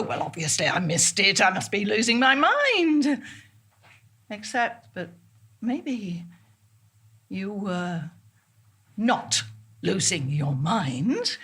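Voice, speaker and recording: An actress playing an unsettled, obsessive woman in a theatrical monologue, halting, breaking off and repeating herself. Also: fairly high pitch, bright, forceful tone, breathy edge, exaggerated voice. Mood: musing, agitated. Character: unsettled woman convinced reality keeps shifting, halting and obsessive.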